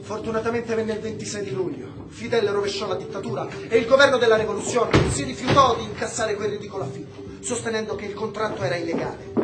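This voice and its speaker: Man acting Miguel, an impassioned, high-voiced man. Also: natural voice. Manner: emphatic, ironic, lecturing. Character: Miguel, impassioned Cuban man, sardonic and politically outspoken. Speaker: radio drama actor. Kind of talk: Italian theatrical radio drama dialogue. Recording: roomy stage-like echo.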